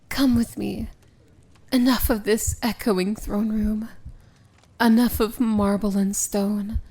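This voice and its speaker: A woman playing a seductive, tender yet commanding evil princess in an intimate fantasy ASMR roleplay, speaking softly and often whispering. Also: medium pitch, full character voice. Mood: devoted, reassuring. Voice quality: soft, breathy, often whispered. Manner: slow, intimate, gently commanding.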